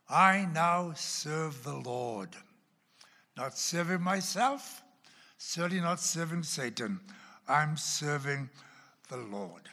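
Male Welsh pastor, Texan traces, lively sweeping pitch, long reverberant church hall. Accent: Welsh with Texan and Oklahoma traces. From Wales. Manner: dramatic, wide swings from loud emphasis to hushed asides. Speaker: pastor teaching a class. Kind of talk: sermon-style Bible teaching.

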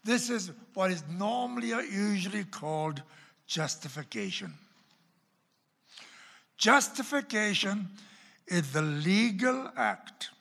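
Welsh-accented preacher with theatrical swings. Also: male voice, a little high. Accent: Welsh with Texan and Oklahoma traces. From Wales. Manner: dramatic, wide swings from loud emphasis to hushed asides. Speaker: pastor teaching a class. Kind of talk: sermon-style Bible teaching. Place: church. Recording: long hall reverb.

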